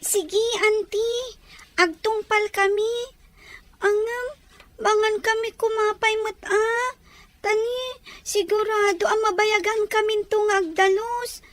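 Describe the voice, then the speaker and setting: Put-upon young orphan girl, very high pitch, dragging delivery, Ilocano drama. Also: fairly even intonation, slow pace, a bit bright, exaggerated voice. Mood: meek, resigned. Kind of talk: Ilocano radio drama acting.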